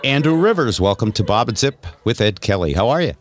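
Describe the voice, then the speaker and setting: A man's voice: on the low side, sing-song, fairly quick. A middle-aged man hosting a radio show, talking casually and giving opinions in an explanatory, musing way.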